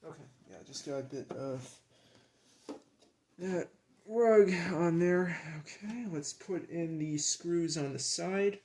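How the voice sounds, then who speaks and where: A man with a creaky voice talking casually and haltingly, half to himself, as he narrates a hands-on hobby task. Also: on the high side, warm tone, a little hiss or hum. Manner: halting, muttering to himself while handling parts. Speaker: hobbyist presenter. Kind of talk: casual hands-on vlog narration while working.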